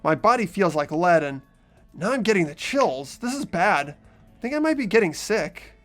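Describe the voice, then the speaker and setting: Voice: medium pitch, very animated, normal speed, a bit bright, faint music behind. A performer voicing a mild, earnest teenage schoolboy who narrates and chats in a fan-voiced visual novel game. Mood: unwell.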